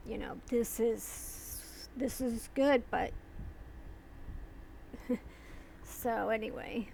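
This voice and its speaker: A woman vlogger talking casually and slowly, rambling with pauses and restarts. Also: fairly high pitch, steady tone, gravelly, a little mellow, a little hiss or hum, rough recording. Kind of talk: casual talk to camera.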